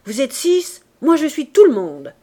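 A woman voicing a fierce, defiant young woman in rags who taunts and shouts down a gang of men. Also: sits high, very animated, unhurried, voice pushed up.

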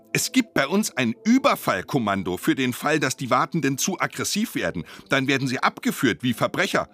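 An actor in a German radio play playing an agitated official, speaking emphatically and indignantly. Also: male voice, mid-range voice, fairly bright tone. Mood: exasperated.

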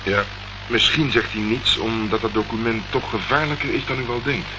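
Man acting dogged private detective, low voice, terse probing manner, radio play, noisy recording. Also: moderate pace, muffled recording, close to an everyday voice. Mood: matter-of-fact. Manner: probing, clipped, matter-of-fact questioning.

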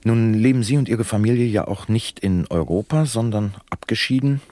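An actor playing a slick, unctuous talk show host in a satirical radio play, flattering and theatrically solemn. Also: male voice, on the low side, moderate pace, dry recording, close to an everyday voice. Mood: smooth, deferential. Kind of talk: satirical radio play acting as broadcast presenter.

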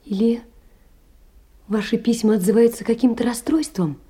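A woman's voice: a little high, normal speed, warm tone, breathy edge. An actress playing a poor young woman, anxious and tenderly caring, pleading earnestly and often sinking toward a whisper in an audio drama. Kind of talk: theatrical reading of letters in a stage radio play.

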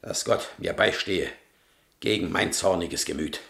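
Old soldier king, gravelly, blustering, slow, roomy echo. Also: low-pitched, fairly bright tone, exaggerated voice. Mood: harsh. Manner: blustering, domineering. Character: gruff, hot-tempered old soldier king. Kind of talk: 1970s radio drama acting.

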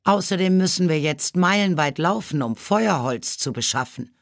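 A man's voice: a little high, expressive, normal speed, creaky, no echo, clean studio sound, pitched above the performer's own voice. A man voicing a worried, doubtful village woman in a children's storybook, complaining and incredulous.

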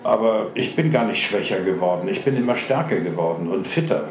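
An actor playing a grumpy, contrary old care-home resident in a dour, deadpan radio play style. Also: male voice, sits low, brisk. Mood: puzzled recounting. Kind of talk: German radio play acting. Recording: echoey room with faint background noise.

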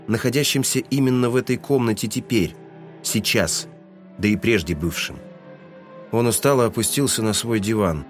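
Male audio drama narrator over music. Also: medium pitch.

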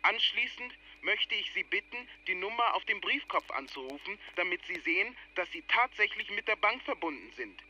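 Bright, high-voiced Nigerian scam official on phone. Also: male voice, big pitch swings, normal speed, hardly any pauses, exaggerated voice. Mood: official, persuasive. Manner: formal, insistent, brusque. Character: smooth-talking, self-assured Nigerian male scam official. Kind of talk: radio play acting, formal phone talk. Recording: dull, noisy telephone line.

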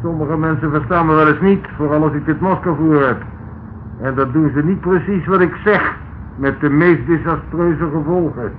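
An actor playing a masked male intruder who gives calm, mocking commands. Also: a little high, normal speed, lightly acted. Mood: coolly menacing. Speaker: villain. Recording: tinny old radio broadcast, faint hiss.

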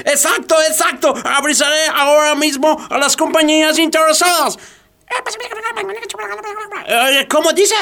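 A man's voice: extremely high pitch, fairly even intonation, measured pace, pauses often, bright, forceful tone, exaggerated voice. An actor playing a gleeful, greedy foreign businessman in a radio play. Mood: eager, scheming.

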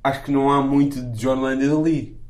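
A man's voice: mid-range voice, steady tone, a little fast, a little mellow. A man with a European Portuguese accent chatting casually about films in an opinionated, colloquial way.

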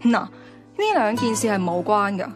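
A woman's voice: medium pitch, steady tone, normal speed, music in the background, lightly acted. An actress with a Hong Kong Cantonese accent playing a frank, idealistic young woman in colloquial radio drama dialogue. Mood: earnest.

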